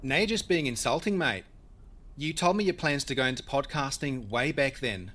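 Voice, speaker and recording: A man's voice: mid-range voice, lively intonation, moderate pace, a bit bright. A man playing an aloof, private carpenter in an audio drama, blunt and laconic, addressing people as mate. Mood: needling. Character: aloof, private male production designer and carpenter, needling.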